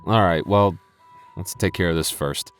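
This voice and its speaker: A man playing a soft-spoken goth boyfriend. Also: sits low, moderate pace, clean tone, soft background music, lightly acted. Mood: weary, teasing. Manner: soft-spoken, stammering when flustered. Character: gentle, nervous young goth boyfriend. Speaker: ASMR voice actor playing a boyfriend. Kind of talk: boyfriend ASMR roleplay.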